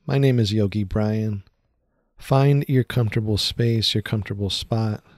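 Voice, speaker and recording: Man, lowish voice, guided meditation, soothing, unhurried, short phrases, long pauses, dry close recording. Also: darkish tone, no echo.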